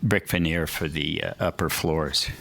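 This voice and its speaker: A man's voice: very deep voice, steady tone, measured pace, creaky, a bit bright, a little hiss or hum. A man presenting plans for a hotel to a city commission at a public meeting, reading from a prepared statement, listing points with a few fillers.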